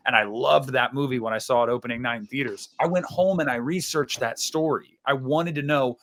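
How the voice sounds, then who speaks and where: A young American man talks as a podcast co-host in a medium-pitched voice. He is informal and emphatic, laying out his argument point by point like someone in an opinionated discussion. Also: brisk, a bit bright. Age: young adult.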